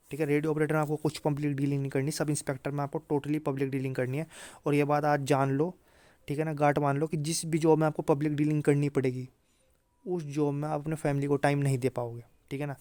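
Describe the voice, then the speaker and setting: A man's voice: fairly high pitch, warm tone, no echo. A man presenting an informal career explainer for students, often repeating points for emphasis and tagging them with short check-in phrases. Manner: repetitive check-in tags, emphatic repetition. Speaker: exam-career video presenter.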